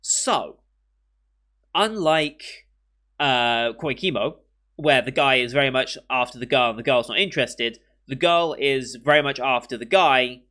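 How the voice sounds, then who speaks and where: A young British man talks casually to his viewers with a bright, forward voice and a slight sniffle from a coming cold. He rambles, backtracks and fills gaps with ums as he thinks aloud. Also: mid-range voice. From Britain. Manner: rambling, with many ums and restarts. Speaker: anime reaction host. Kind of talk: casual chat to camera.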